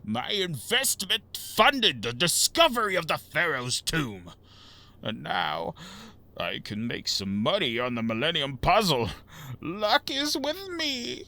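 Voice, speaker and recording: A man's voice: on the high side, sing-song, slow pace, gravelly, sharp tone, in a large hall, heavily acted. A man playing a greedy, blustering museum investor in an audio drama. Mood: gloating.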